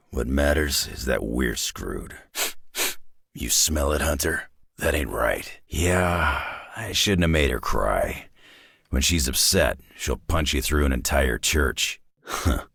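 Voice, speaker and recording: Very deep, gravelly gruff superhero loner. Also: male voice, a bit bright, dry recording, clean studio sound, exaggerated voice. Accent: North American. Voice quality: very deep, gravelly, raspy growl. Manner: gruff, wry, tough-guy slang with dropped endings. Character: gruff, battle-hardened male superhero with claws, sardonic loner. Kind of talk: video game character voice lines.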